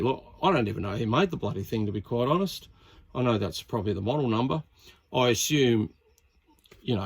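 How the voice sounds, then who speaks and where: A man of about sixty with an Australian accent, rambling casually with many uhs and ums as he shows off an old radio to his viewers. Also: mid-range voice. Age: around 60. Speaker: radio hobbyist showing a CB set. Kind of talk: casual show-and-tell to camera.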